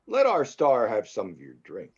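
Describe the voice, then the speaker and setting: A man playing a flattering, coaxing film producer in a drama table read, on a dull recording. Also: mid-range voice, moderate pace, husky. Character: smooth, flattering male film producer with menacing intent. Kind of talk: screenplay table read acting.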